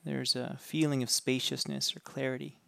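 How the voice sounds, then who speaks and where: Calm, gravelly-voiced man guiding meditation. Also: medium pitch, no room sound. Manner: calm, slow, gentle instructions. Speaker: meditation guide. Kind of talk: guided meditation.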